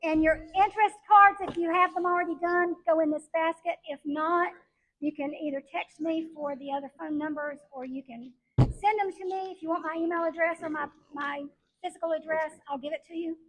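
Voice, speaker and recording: A woman's voice: very high voice, fairly even intonation, a little fast, fairly bright tone, low-quality recording. A woman explaining interest cards and contact details at a members' meeting in a practical way.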